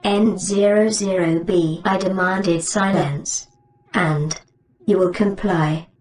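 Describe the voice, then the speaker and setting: A woman's voice: fairly low pitch, steady tone, slow pace, a little mellow. A woman voicing a haughty, menacing droid master giving commands in a comic sci-fi radio drama, in a roomy, distant recording. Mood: imperious.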